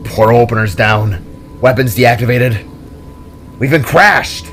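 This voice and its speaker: Brotherly sci-fi alien male, acted by a male narrator: teasing, kind, quick to fluster, light music bed. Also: medium pitch, fairly bright tone, natural voice. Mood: alarmed. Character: kind, playful brotherly male alien reflection, easily rattled.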